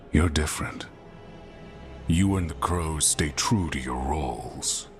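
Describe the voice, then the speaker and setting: A proud warlord from a fantasy game, with an extremely low voice full of gravel. He speaks slowly and grandly, menacing and possessive, like a cultured conqueror. Music plays clearly behind him. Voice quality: deep gravelly voice. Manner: slow, theatrical, grandiose. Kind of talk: dramatic video game acting. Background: prominent background music.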